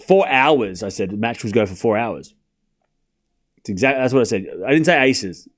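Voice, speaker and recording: A man's voice: medium pitch, animated, normal speed. A man with an Australian accent, giving casual live tennis commentary and teasing his chat. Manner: teasing, boastful, talks straight to chat.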